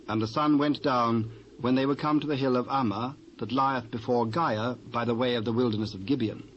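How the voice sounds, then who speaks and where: British male scripture narrator, muffled old tape. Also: medium pitch. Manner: measured, formal storytelling. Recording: dull, hissy old cassette transfer.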